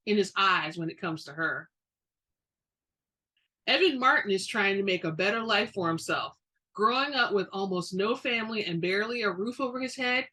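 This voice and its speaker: A woman book reader with a medium-pitched, bright voice and a husky grain. She delivers her words expressively, with emphasis and a dramatic touch.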